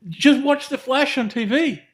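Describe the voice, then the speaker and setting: A man's voice: very high-pitched, a little slow, breathy edge. A man co-hosting a podcast, chatting casually about films with lots of fillers and restarts. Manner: rambling, many fillers and restarts. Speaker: podcast co-host. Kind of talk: casual film discussion.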